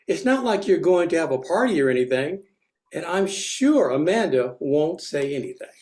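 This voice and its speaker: A performer playing a patient father at a table read, gently coaxing his daughter. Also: medium pitch, sing-song, normal speed, natural voice. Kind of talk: table read of an amateur play.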